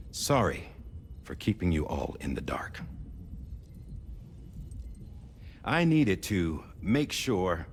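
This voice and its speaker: Solemn, slow deep-voiced male leader. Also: a little hiss or hum. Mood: grave, confessional. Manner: deliberate, oratorical, with weighty pauses. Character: solemn, idealistic male leader, measured and sincere. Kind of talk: dramatic cinematic game dialogue, speechmaking. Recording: music behind the voice.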